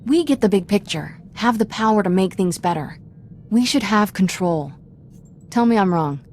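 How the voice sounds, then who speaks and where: A woman's voice: medium pitch, animated, moderate pace, darkish tone, soft background music, close to an everyday voice. A woman as a brash, confident young Jedi. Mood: brash conviction. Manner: blunt, informal, self-assured. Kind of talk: video game character acting.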